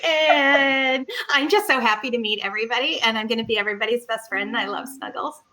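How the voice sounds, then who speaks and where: Female player as affectionate male cat-folk for tabletop role-play: high, bright, gushing, childlike. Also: brisk, rough recording. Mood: eager, friendly.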